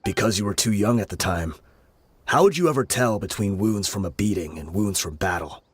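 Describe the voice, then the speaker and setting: A man playing a weary, level-headed desert brigand in a video game, patient and regretful, telling things in a measured way. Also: lowish voice, expressive, breathy edge. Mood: somber, regretful. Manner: measured, explanatory storytelling. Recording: music behind the voice. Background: game music.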